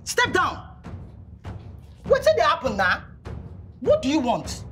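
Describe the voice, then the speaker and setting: A young woman with a Nigerian accent acting a loyal friend who scolds and switches to loud Pidgin. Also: high-pitched, huge pitch swings, slow, soft background music, heavily acted. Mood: angry. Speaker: protective friend. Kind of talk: skit drama acting.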